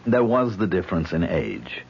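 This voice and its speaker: A man's voice: deep voice, sing-song, normal speed, gravelly, slight background noise, heavily acted. An actor in a vintage radio drama playing a haunted man, exasperated and defensive, with sardonic, indignant protests. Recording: muffled old radio recording.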